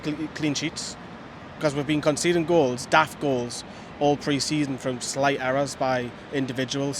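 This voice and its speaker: A man giving measured, opinionated football views outdoors. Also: mid-range voice. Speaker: fan pundit. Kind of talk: casual football chat. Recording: outdoor street noise.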